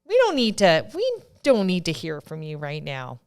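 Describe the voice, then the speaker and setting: Woman, podcast co-host, casual chat, emphatic, smooth lower voice. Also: wildly swooping pitch, a little mellow. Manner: emphatic, quick to push back.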